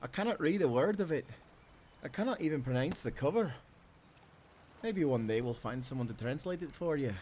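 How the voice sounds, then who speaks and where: An actor playing a devoted, playful sailor husband with a Scottish accent, speaking tenderly in old-fashioned seafaring language for a romantic audio roleplay. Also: male voice, on the high side, lively intonation, moderate pace, long pauses, a little hiss or hum, lightly acted. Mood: tender, reassuring. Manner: tender, teasing, given to soft chuckles.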